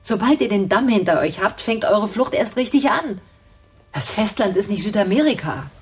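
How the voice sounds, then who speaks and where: Scornful educated older woman held hostage: medium-pitched husky voice, condescending, articulate, radio drama. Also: expressive, moderate pace. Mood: sarcastic. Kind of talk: naturalistic radio drama acting.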